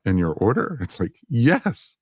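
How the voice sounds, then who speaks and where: A man's voice: mid-range voice, huge pitch swings, a little slow, a little hiss or hum. A man co-hosting a casual fan podcast, chatting in a wry, mildly sarcastic way. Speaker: podcast co-host.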